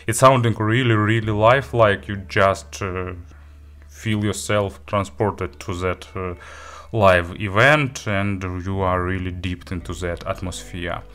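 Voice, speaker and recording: Male gear reviewer, unhurried and hesitant yet keen, slightly low voice, spoken review. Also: steady tone, fairly bright tone.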